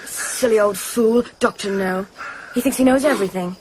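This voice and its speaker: A woman's voice: medium pitch, normal speed, noisy background, close to an everyday voice. An actress playing a plucky, affectionate young woman, the companion of a spy, in a radio drama. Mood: matter-of-fact, subdued.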